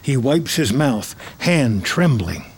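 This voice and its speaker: A man reading scripted screenplay narration in the present tense, in a measured storytelling manner. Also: mid-range voice, sing-song, slight background noise.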